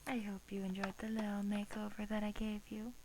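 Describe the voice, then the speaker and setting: A woman playing a sweetly menacing, teasing vampire in a soft, breathy ASMR roleplay, often dropping into a whisper, coaxing and condescending. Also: medium pitch, monotone, fairly quick, natural voice, poor audio. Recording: faint hiss.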